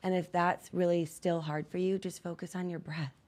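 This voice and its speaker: A woman's voice: fairly low pitch, flat delivery, normal speed, a little mellow. A woman speaking as a podcast guest and lifestyle coach, giving advice in a conversational way.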